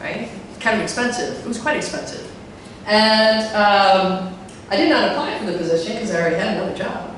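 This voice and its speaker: A middle-aged woman with a deep voice gives a chatty talk on a handheld mic, filling her speech with fillers and putting questions to the audience. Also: lively intonation, a little fast, fairly bright tone, slight background noise. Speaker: conference speaker. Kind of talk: live tech talk to an audience. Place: large hall with a projector screen. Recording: echoey hall from far off.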